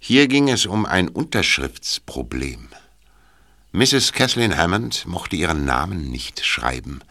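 A man playing the storyteller of a detective audio drama, narrating from a script in a measured, knowing way. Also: low voice, very animated, sharp tone, heavily acted. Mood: wry, knowing.

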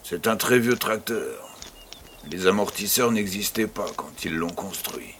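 Man playing a low-voiced kindly old farmer grandfather. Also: expressive, fairly bright tone, a little hiss or hum, full character voice. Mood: fond, teasing. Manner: affectionate, teasing, unhurried storyteller. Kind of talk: French-language audio drama acting.

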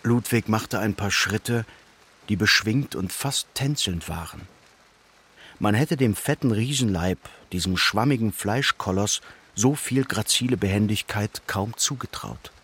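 A man narrating a literary radio drama in a measured, solemn and precise manner. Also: fairly low pitch, a bit bright, slightly rough.

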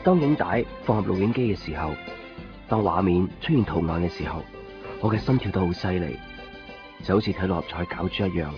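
Earnest low-voiced young Cantonese man. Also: lively intonation, brisk. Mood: nervous. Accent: Hong Kong Cantonese. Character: earnest, lovestruck young man courting a woman. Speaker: radio drama actor. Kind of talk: naturalistic 1990s radio drama dialogue and inner monologue. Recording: muffled 1990s radio drama, music underneath.

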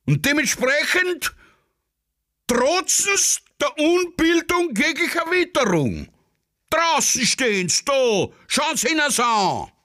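A bombastic, muddled Viennese patriot haranguing a street crowd. The voice is high and forceful, swooping theatrically through slow, declamatory phrases. It has the feel of a satirical radio play. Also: male voice, sing-song, exaggerated voice. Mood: bombastic, fervent. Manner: declamatory, pompous. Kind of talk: rabble-rousing speech in satirical radio play.

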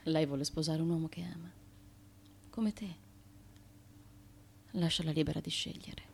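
An actress playing a fearful, haunted wife of an ancient Greek tyrant, intense and repetitive, in a theatrical radio drama. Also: female voice, deep voice, moderate pace, gravelly, slight background noise. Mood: hushed, apprehensive.

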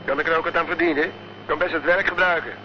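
An actor playing a wry, nosy, work-hungry private detective in a radio drama, with an informal manner. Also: male voice, fairly high pitch, steady tone, racing, natural voice. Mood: curious, cheeky. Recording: very noisy, muffled old radio play recording.

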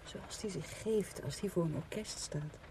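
A woman's voice: fairly low pitch, a little slow, background noise, natural voice. An actress playing an emotional, defiant woman secretly in love, in a radio play. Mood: subdued, confessing.

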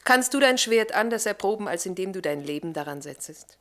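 A woman's voice: medium pitch, lively intonation, brisk, fairly bright tone, natural voice. Actress as a spirited, devout captive woman. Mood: earnest. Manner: earnest, questioning. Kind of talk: radio drama acting.

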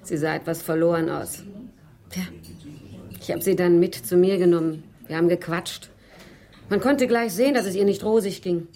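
A woman's voice: fairly low pitch, moderate pace, long pauses, dark tone, close to an everyday voice. An actress playing a worldly, self-assured woman who teases and probes, in a German radio crime drama. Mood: teasing, chatty. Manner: teasing, self-assured, probing. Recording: faint music under the voice.

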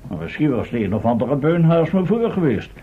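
Casting: vain male professor, higher-pitched and very animated, formal, pompous, vintage radio drama. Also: brisk. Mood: self-important. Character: vain, fussy male professor, time-travelling scientist hungry for fame. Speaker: radio play actor. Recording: muffled old radio recording, faint hiss.

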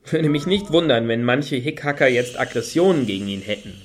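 A man's voice: mid-range voice, animated, brisk, lightly acted. An actor playing a wordy, wry and hesitant male fan in a German radio play. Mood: wry, musing. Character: hesitant, sardonic male fan of a washed-up satirist. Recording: hissy background noise.

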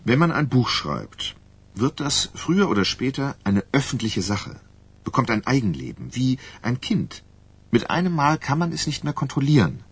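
Husky, lively male ghostwriter. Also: mid-range voice, normal speed. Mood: earnest, cautioning. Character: candid, careful male journalist turned ghostwriter. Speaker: actor. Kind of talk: German radio crime drama acting.

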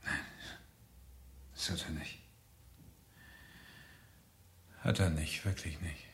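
An actor playing a brooding, guilt-ridden doctor with a subdued, hesitant delivery in a German radio crime play. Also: male voice, rumbling low, a little slow. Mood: shaken, evasive. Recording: roomy, hissy old radio recording.

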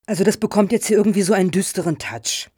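A woman playing a polite, flattering panel member in a satirical radio play, soothing and chairing the talk. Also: medium pitch, fast, breathy edge, no room sound, clean studio sound, natural voice. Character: conciliatory, flattering female foundation panel member.

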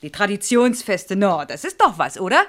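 An actress playing a worried, exasperated middle-aged mayor's wife who explains plaintively in a radio drama. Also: female voice, mid-range voice, sing-song, normal speed, fairly bright tone. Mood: worried, frank. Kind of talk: 1970s East German radio drama acting.

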